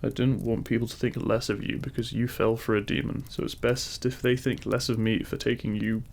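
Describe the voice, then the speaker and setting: Demon king romantic lead, male actor: very heavy vocal fry, tender and teasing, courtly wording, intimate ASMR roleplay delivery. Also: medium pitch, flat delivery, brisk, darkish tone. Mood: protective, tender. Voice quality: gravelly. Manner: tender, formal, courtly phrasing.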